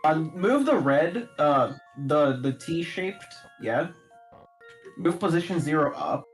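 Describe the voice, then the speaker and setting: A man's voice: fairly high pitch, animated, slow pace, soft background music, rough recording. A man giving casual step-by-step game directions to a friend, hesitant and thinking aloud. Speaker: co-player coaching puzzle moves.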